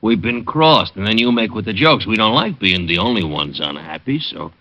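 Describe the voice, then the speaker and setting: An actor playing a brutish, eager thug who talks in rough slang. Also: male voice, on the low side, expressive, normal speed, sharp tone. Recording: dull old radio recording.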